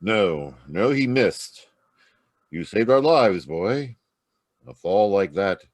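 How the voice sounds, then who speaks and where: Male actor as brave radio Mountie sergeant. Low, very animated, authoritative yet reassuring. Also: takes it slowly, no room sound. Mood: commanding, reassuring. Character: steady, brave Mountie sergeant hero, kindly commanding. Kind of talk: old-time radio drama acting. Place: remote online performance from a close mic.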